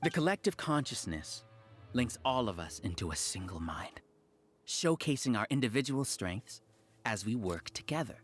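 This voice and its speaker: An actor playing a smug, grandiose scholar villain who leads a cult-like hive, theatrical and condescending in a video game. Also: male voice, medium pitch, lightly acted.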